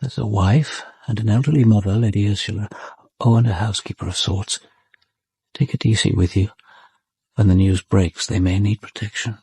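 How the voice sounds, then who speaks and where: An actor playing a composed, authoritative senior detective in a radio drama, with a British accent and a measured, matter-of-fact delivery. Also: male voice, on the low side, steady tone, gravelly, a little mellow, slightly rough, no room sound, heavily acted. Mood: brisk, authoritative. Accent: British English. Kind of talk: radio drama narration and dialogue.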